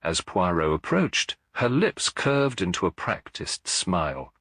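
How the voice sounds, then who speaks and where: A man with a low, husky voice narrates a detective story in a formal, dramatic style. His delivery is measured and highly melodic, with wide swings in pitch. The sound is close and dry. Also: sing-song, fairly bright tone, dry recording. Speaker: audiobook narrator. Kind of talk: scripted dramatic mystery narration.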